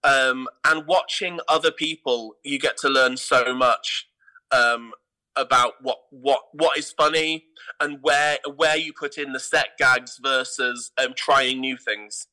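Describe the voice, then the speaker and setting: A middle-aged man with an English accent, talking in an animated, emphatic way as an interview guest and repeating words for emphasis. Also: medium pitch, slow, low-quality recording. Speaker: theatre company artistic director, interview guest. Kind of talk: animated anecdotal interview talk.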